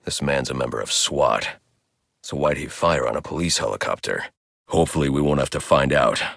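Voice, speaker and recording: A grim masked crimefighter from a video game. His voice sits extremely low, with a heavy gravel to it, recorded close and dry. He speaks in terse, stern phrases, like a driven detective who allows no argument. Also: brisk, a bit bright, dry recording, full character voice. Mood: grim, analytical. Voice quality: gravelly, very deep. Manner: terse, stern, clipped delivery. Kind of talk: video game voice lines, scripted hero dialogue.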